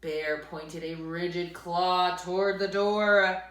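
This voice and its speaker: A woman storyteller reading aloud expressively to children, with dramatic emphasis, drawn-out words and hushed asides to her listeners. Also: lowish voice, little pitch movement. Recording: echoey room.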